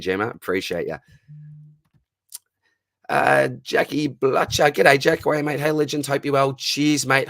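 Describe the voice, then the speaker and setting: Husky Australian man hosting a chatty stream. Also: lowish voice, fairly bright tone, no room sound, studio quality. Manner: friendly, matey, calls viewers mate and buddy. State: husky, voice going. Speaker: fantasy football live stream host. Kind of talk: casual live stream chat reading viewer comments.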